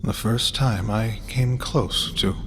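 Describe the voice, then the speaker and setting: An actor playing a sinister, machine-bound brain entity in an audio drama, measured, formal and cruelly menacing. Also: male voice, on the low side, fairly even intonation, fairly bright tone, a little hiss or hum. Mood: calm menace.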